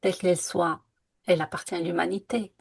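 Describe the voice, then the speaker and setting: Husky-voiced woman co-hosting a chat podcast. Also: lowish voice, steady tone, a little fast. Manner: reflective, earnest, many hesitations. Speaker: podcast co-host. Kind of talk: casual conversational podcast. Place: lived in France.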